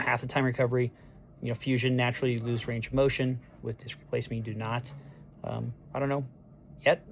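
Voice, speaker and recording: A man in his thirties with a hoarse voice the day after neck surgery, talking through a casual video diary in a halting way, full of ums and restarts. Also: mid-range voice, slight background noise. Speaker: patient sharing a recovery diary. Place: hospital room on discharge day.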